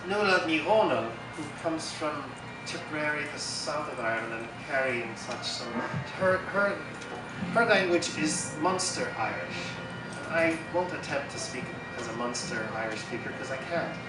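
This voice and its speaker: A man's voice: on the high side, animated. Man reading poems at a festival. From Hudson Valley, New York, US. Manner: reads poems slowly and feelingly, then chats with fillers. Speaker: poetry reader at a festival. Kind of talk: poetry reading with casual asides. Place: festival stage. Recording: echoey, far from mic, loud music behind.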